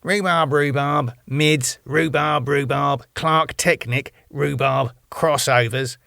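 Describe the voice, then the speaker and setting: Guy doing a leery laddish bloke, bit higher than his usual voice, blunt banter, dry sound. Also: fairly even intonation, slow, vocal fry, bright, forceful tone, no echo, full character voice. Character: cheeky laddish man, blunt and leering.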